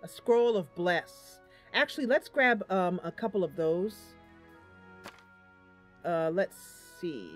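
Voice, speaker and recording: A woman's voice: fairly low pitch, lively intonation, slow pace, long pauses, faint music behind. A woman streaming a game live, chatting casually and affectionately with her viewers.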